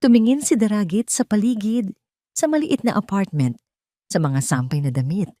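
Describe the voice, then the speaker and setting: A woman's voice: medium pitch, animated, moderate pace, dark tone, no room sound, studio quality. A woman reading a scripted, dramatic story as narrator.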